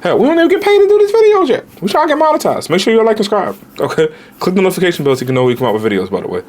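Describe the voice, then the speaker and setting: A man in his late twenties, a travel vlogger giving casual, animated budget travel advice straight to viewers, with slang. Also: high-pitched, racing. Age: 20s.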